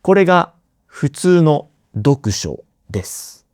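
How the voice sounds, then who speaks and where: A man teaches learners at a very unhurried pace, dwelling on each word and repeating key terms so they sink in. His voice is warm and mellow, with a smooth, resonant clarity. The sound is close and dry, with no room in it. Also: on the high side, sing-song, dry recording. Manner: deliberate, repeats key words, friendly questions to listeners. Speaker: Japanese teacher, podcast host. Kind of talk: slow, simple explanation for learners.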